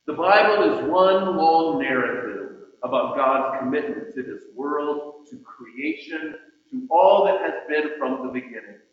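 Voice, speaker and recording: A man delivering a sermon in measured, emphatic phrases with deliberate pauses, reading out scripture and quotations as he goes. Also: a little high, lively intonation. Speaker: preacher. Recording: muffled, very echoey hall with long reverb.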